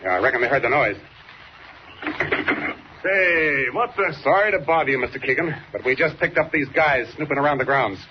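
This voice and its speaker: Casting: male actor for gruff, mocking cop boss in a vintage radio adventure, slangy bark, dull noisy sound. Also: medium pitch, animated, normal speed, natural voice. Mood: businesslike. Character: gruff, sarcastic male police captain. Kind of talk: 1940s radio acting. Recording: muffled, noisy 1940s radio recording.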